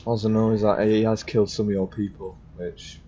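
A man's voice: fairly low pitch, level tone, a little fast, mellow, slight background noise. A young man improvising casually in character in a video game roleplay. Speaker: gaming roleplayer. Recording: dull lo-fi game mic, music behind.

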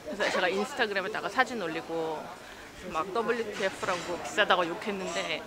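A woman's voice: mid-range voice, a little fast, a loud crowd. A woman travel vlogger chatting and narrating casually, in a blunt, informal way. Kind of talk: casual vlog chat and narration. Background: music.

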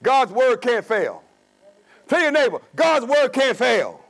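A man's voice: extremely high pitch, big pitch swings, slow, fairly bright tone. A man in his sixties preaching a sermon in a church, emphatic, with call-and-response questions. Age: 60s. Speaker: pastor. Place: church sanctuary with stone wall.

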